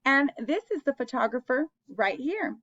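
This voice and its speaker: Lady reading a picture book to little kids, highish voice, keeps asking them stuff, kinda muffled homemade recording. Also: sing-song, moderate pace, a bit bright. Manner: encouraging, questioning, addressing children directly. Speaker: children's read-aloud host. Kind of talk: interactive picture-book read-aloud for young children.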